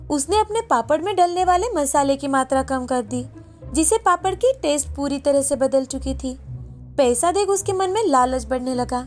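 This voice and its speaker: A woman with a very high voice tells a scripted moral tale. She narrates as a storyteller, with faint music playing behind her.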